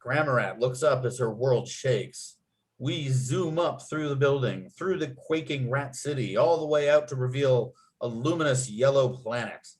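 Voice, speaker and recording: Guy reading out screenplay directions, medium pitch, vivid storyteller narration. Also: measured pace. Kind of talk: scripted narration at a table read.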